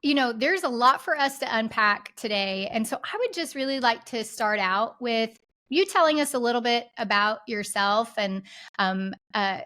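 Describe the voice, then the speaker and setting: A woman's voice: on the high side, moderate pace, bright, forceful tone. A woman hosting an interview podcast, introducing her guest and asking questions with enthusiasm and lots of casual fillers. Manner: enthusiastic, many fillers like "like" and "you know". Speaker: podcast host.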